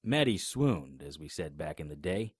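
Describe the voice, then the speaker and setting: A man narrating a radio drama in the first person with a deep, low voice. He tells it in a wry, matter-of-fact way, recorded dry and close to the mic. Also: sing-song, moderate pace, breathy edge, no echo. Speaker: narrator and lead actor.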